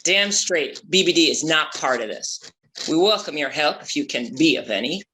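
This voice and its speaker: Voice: medium pitch, animated, moderate pace, bright, forceful tone, rough recording. A performer at a script table read playing a stubborn, folksy, sarcastic shark-hunting boat captain. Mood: blustering.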